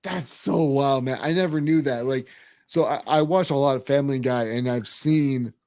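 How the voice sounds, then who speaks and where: A man in his forties hosting a casual sports podcast, teasing and animated, often restarting his words. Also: mid-range voice, normal speed. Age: 40s. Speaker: podcast host.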